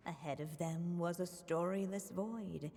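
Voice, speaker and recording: A woman giving scripted fairy-tale narration in a game, in a slow, gentle storytelling manner. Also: on the low side, slightly rough. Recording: reverberant, with faint music.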